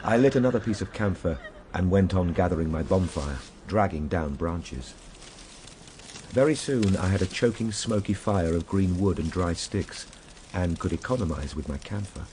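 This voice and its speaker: A man's voice: low voice, expressive, normal speed, a little hiss or hum. A man playing a time traveler recounting his ordeal in solemn, literary radio drama narration. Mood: grave, tense. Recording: radio drama over loud background ambience.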